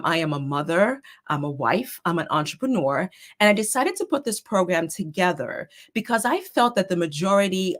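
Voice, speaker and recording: A middle-aged woman, a therapist and life coach, giving a steady, earnest talk with a slightly hoarse voice. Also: lowish voice. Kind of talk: prepared talk to an online group.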